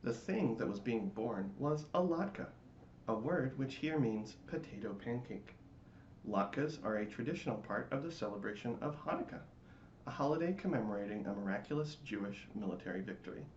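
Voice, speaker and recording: A man giving a theatrical, expressive dramatic reading of a storybook. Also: medium pitch, normal speed. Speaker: storybook reader. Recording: roomy, dull, faintly noisy home recording.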